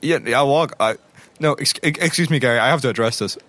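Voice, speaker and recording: A man's voice: mid-range voice, quick pace, a bit bright, natural voice. A man playing an indignant, hot-tempered investigator in comic audio roleplay, protesting in a flustered stammer.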